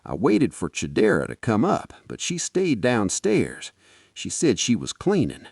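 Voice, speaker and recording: A man's voice: medium pitch, huge pitch swings, unhurried, creaky, a little mellow, no echo, studio quality. A man with a Nigerian accent narrating a folktale dramatically in the first person, as a podcast storyteller.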